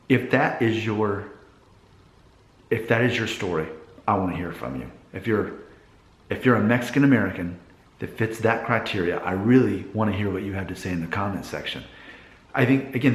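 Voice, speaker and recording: A man with a fairly low voice records an opinion vlog in a room with clear echo. He addresses his viewers directly, in a deliberate and emphatic way. The delivery is an earnest monologue. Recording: echoey room.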